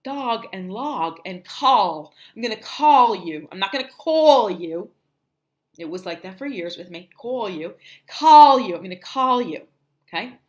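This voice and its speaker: Lively New Jersey teacher sounding out letters. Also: female voice, mid-range voice. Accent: New Jersey, softened toward standard American. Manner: animated, sounds out letters slowly, checks in with "okay?". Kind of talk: livestream phonics lesson for parents.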